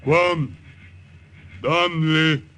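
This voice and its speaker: A huge, fierce jungle chief from an old radio adventure. He speaks extremely slowly in terse pidgin fragments, fairly high in pitch, with music behind. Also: steady tone, bright, forceful tone, clean tone, exaggerated voice. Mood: fierce, commanding. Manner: broken pidgin English, terse, slow. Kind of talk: 1950s radio drama acting.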